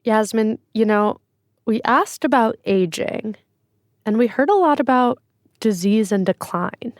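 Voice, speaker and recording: A woman's voice: fairly high pitch, expressive, unhurried, no room sound, studio quality. A woman co-hosting a podcast conversation, prompting with curious questions. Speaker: podcast producer and co-host.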